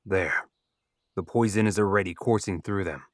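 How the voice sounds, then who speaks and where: A man playing a calculating, pragmatic bandit archer in an audio drama, with a measured, matter-of-fact delivery. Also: low voice, no room sound, studio quality, close to an everyday voice. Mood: cool, scheming.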